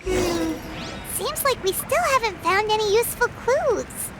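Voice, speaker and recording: A chirpy little girl companion from a video game, with a piercing high voice that bounces all over. She chatters in a friendly, caring way. Loud game music fills the background. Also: animated, a little slow.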